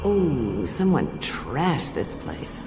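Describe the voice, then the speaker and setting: A woman's voice: a bass voice, big pitch swings, extremely slow, noisy background, heavily acted. An actress playing a tough, sardonic woman explorer in a radio play, with a dry, wry delivery. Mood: wry, curious.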